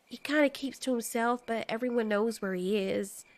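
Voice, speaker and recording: A woman's voice: on the high side, moderate pace, natural voice. Woman playing a bashful, flirty female kaiju. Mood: chatty, confiding. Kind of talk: amateur audio story acting.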